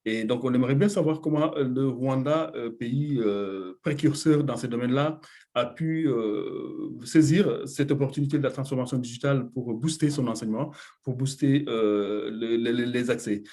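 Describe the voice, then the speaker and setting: A man's voice: medium pitch, normal speed, dark tone. A man moderating a panel discussion, hesitant and full of filler sounds.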